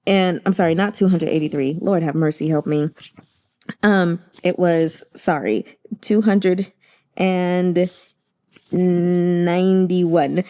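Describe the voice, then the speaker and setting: A woman hosting a book channel, giving casual commentary in a gushing, expressive manner. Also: fairly low pitch, slow pace. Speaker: host of a book reading channel.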